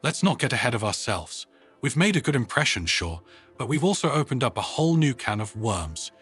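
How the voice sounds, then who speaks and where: A man's voice: medium pitch, very animated, a little fast, a bit bright, slightly rough, soft background music. A man voicing a wry, practical woman who leads a space team, in a conversational way, for an audio story.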